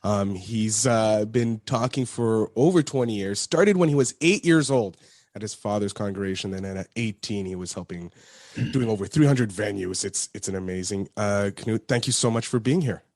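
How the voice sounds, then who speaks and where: A man's voice: fairly low pitch, animated, normal speed, darkish tone, dry recording, clean studio sound. A man hosting a podcast in an upbeat, loose way, stumbling a little over his words. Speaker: podcast host. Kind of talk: casual interview hosting.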